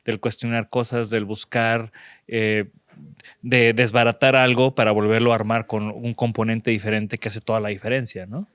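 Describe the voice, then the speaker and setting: A man's voice: on the low side, flat delivery, moderate pace, fairly bright tone, no echo, studio quality. Casual Mexican host, man, slangy. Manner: casual, slangy, frequent backchannel. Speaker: podcast host. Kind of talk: casual interview chat.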